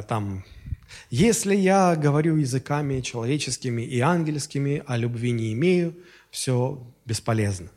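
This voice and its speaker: A man's voice: on the high side, a little mellow. A man preaching a Sunday sermon in Russian, in a conversational style with fillers and anecdotes, and his voice sounds strained. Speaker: pastor. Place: church.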